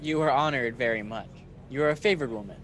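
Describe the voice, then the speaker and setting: A man playing a calm, authoritative messenger angel in a radio play, speaking in a solemn, measured way. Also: mid-range voice, a little hiss or hum, lightly acted. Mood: solemn, proclaiming.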